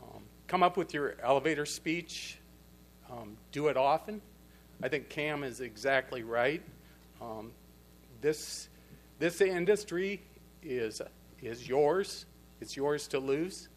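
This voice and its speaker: A man's voice: mid-range voice, expressive, takes it slowly. Earnest plain-spoken man presenting. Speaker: conference presenter on the pork industry. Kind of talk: speaking at a conference panel. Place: conference hall.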